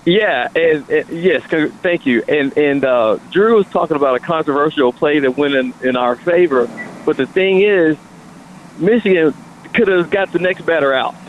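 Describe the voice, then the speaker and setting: A hoarse man calls in to sports radio, his voice fairly high and worn. He talks loudly and excitedly over a dull, muffled line, with faint traffic noise behind him. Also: normal speed. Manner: excited, loud. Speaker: caller.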